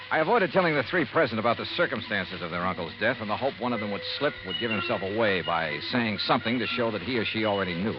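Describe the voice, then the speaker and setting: An actor playing a brisk, hard-boiled male investigator in a 1950s radio noir drama, terse and probing. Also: lowish voice. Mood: wry, reflective. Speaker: lead detective and narrator. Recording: noisy, dull vintage radio recording.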